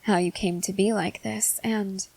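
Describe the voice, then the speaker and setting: A woman playing a composed, inquisitive young female soldier in an ASMR roleplay, speaking formally in measured, deliberate phrases. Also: medium pitch, steady tone, creaky, dark tone, breathy edge. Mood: calm, intrigued. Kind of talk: scripted ASMR roleplay, acted to the listener.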